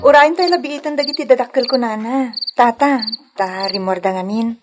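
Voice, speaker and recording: A woman playing a gentle, dutiful young village woman in an Ilocano radio love drama, with an Ilocano accent. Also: fairly high pitch, normal speed, over loud music, natural voice. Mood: polite, bright.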